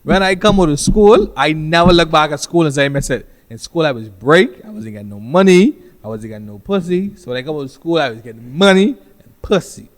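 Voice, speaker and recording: A man's voice: a little high, expressive, brisk. A man from the Bahamas co-hosting a casual podcast chat, loose and crude in his joking banter. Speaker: podcast co-host.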